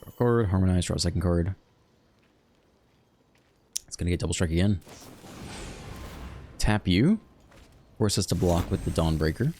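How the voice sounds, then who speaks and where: A man hosting a card game video, thinking aloud in a relaxed, chatty way as he plays. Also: low-pitched, moderate pace, pauses often, slight background noise. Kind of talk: casual live gameplay commentary.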